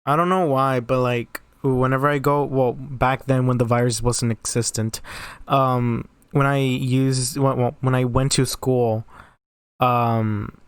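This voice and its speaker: A man's voice: medium pitch, steady tone, measured pace, smooth, dry recording. A teenage boy from Mexico with a light Mexican Spanish accent, chatting casually on a podcast, giggling often and restarting his sentences. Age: teens. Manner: giggly, rambling with many restarts. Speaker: podcast host. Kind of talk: casual chat.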